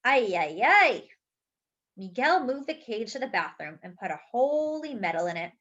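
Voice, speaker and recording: A woman's voice: fairly high pitch, a little fast. A woman reading a picture book aloud expressively, acting out the dialogue and adding chatty asides. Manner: animated, acts out the dialogue. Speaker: children's storybook reader. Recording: dull lo-fi recording.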